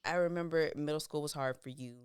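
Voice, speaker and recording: A young woman, co-hosting a casual podcast chat with her best friend and telling stories animatedly, jumping high when she quotes herself. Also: quick pace, clear, resonant tone, no room sound, studio quality. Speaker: podcast co-host.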